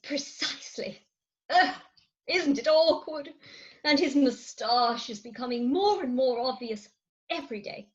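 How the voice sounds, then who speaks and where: Muffled video-call sound carries a woman playing a melodramatic wife in a stage farce. Her pitch sits high and swings dramatically, with a slightly husky edge, as she frets and confides. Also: expressive, unhurried, full character voice. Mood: woeful. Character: fretful, theatrical Victorian wife of thirty-six. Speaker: actor in an amateur staged reading. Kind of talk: Victorian farce stage acting.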